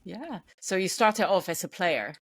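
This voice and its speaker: A woman's voice: lowish voice, expressive, measured pace, breathy edge. A woman hosting an interview podcast, asking curious, encouraging questions with many fillers. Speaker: podcast host. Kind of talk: casual interview conversation.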